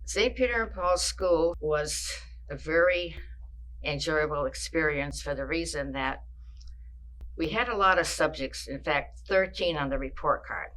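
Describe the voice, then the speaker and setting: An older woman from Massachusetts, recalling her childhood in an oral history interview, with a measured pace and frequent pauses. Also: sits low, fairly bright tone, slight background noise, low-quality recording.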